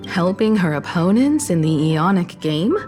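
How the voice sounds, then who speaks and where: A poised, mature mentor figure in a game, with a lowish, even voice. She speaks calmly and with quiet authority, soft music behind her. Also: a little slow. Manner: calm, composed, gently authoritative.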